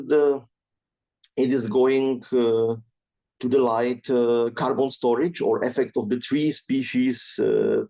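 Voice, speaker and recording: A man from the gives an academic webinar talk in mid-pitched, careful English. He fills his pauses with steady "uh"s as he works through his slides. The sound comes through a dull, lo-fi video call line. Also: vocal fry. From Czech Republic. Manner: hesitant, many filler sounds, careful non-native phrasing. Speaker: researcher presenting a webinar.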